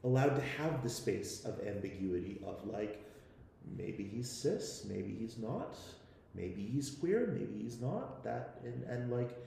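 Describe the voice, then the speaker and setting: An American man from the Midwest speaking on a panel, haltingly, repeating words as he thinks aloud. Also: mid-range voice, big pitch swings, gravelly, dark tone. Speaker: panelist, member of an audio drama team. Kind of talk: live panel discussion. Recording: very echoey room, faint background noise.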